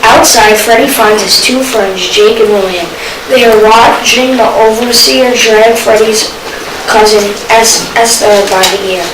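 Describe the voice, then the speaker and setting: Halting female student reader in echoey room. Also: a little high. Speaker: student performer. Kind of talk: scripted readers theater, read aloud. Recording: noisy echoey room, distant mic.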